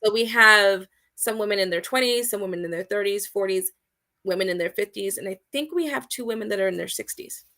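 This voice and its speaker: Woman guest, upbeat and emphatic, bright clear voice, loud-soft swings. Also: medium pitch, brisk. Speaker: guest, outdoor community organizer. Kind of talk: casual interview chat.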